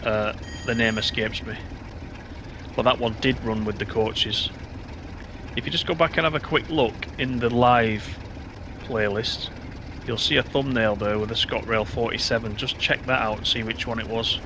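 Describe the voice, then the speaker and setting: A man casually commenting on his train game while streaming, reading out and answering chat comments, his voice a bit hoarse. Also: medium pitch, fairly even intonation, normal speed, noisy background. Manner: chatty, reads out chat comments. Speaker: live streamer.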